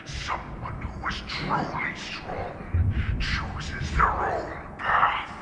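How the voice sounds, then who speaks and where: An actor playing an ancient, proud male wolf warlord who speaks in slow, brooding villain speeches in a video game cutscene. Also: over loud music. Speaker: final boss. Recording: echoey game mix with loud ambience.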